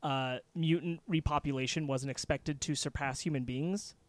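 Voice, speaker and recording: A man's voice: on the high side, steady tone, normal speed, dry recording. A man in a casual comics chat, laying out the story premise plainly.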